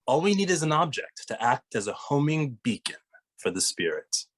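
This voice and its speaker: A man reading a serious, formal young detective for ghosts in an animated comedy table read. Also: on the high side, normal speed, husky, full character voice. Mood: solemn.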